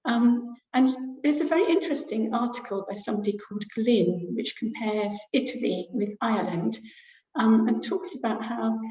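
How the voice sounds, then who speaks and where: A middle-aged woman lecturer giving an academic webinar talk over a video call, pausing often with fillers as she thinks aloud. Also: on the high side, dark tone. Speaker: university lecturer presenting research. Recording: roomy, dull lo-fi webinar audio.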